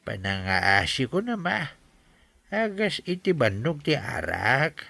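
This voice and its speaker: An actor playing a stubborn, grumbling man who likes his drink, in a radio comedy drama, with a gravelly voice. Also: medium pitch, big pitch swings, slow, sharp tone, no room sound, exaggerated voice.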